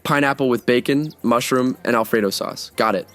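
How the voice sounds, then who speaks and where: A man's voice: medium pitch, moderate pace, faint music behind, lightly acted. A man playing a laid-back joking college guy. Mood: casual. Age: 20s. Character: easygoing young male art student. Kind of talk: audio drama acting.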